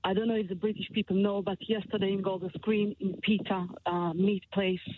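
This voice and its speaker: A woman's voice: mid-range voice, little pitch movement, a little fast, phone-quality sound. Urgent Israeli woman calling in. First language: Hebrew. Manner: urgent, emotional, broken English. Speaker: caller. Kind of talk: phone-in call.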